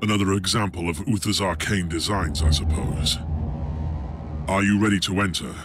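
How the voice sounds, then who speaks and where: A learned and skeptical inquisitor ally in a video game , played by a man. His lowish voice is measured and formal, with music clearly behind it. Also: fairly even intonation, natural voice. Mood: curious, composed. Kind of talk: video game cutscene acting.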